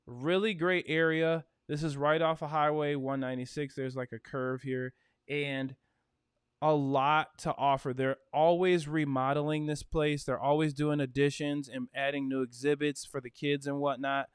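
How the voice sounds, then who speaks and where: A man talks through a casual realtor livestream in an upbeat, chatty way, with lots of "uh" and "you know" along the way. His voice is smooth and resonant. It sits dry and close on the mic, with no room sound. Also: fairly high pitch, level tone, normal speed, no echo. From Michigan. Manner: chatty, upbeat, with frequent fillers.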